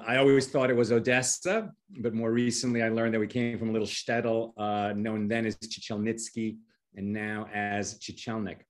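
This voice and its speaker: A middle-aged man from Chile, speaking without a noticeable accent, who tells his life story thoughtfully, often pausing on "uh" and trailing off softly. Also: medium pitch. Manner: thoughtful, many "uh" pauses, soft trailing endings. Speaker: podcast guest, filmmaker. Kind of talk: reflective storytelling.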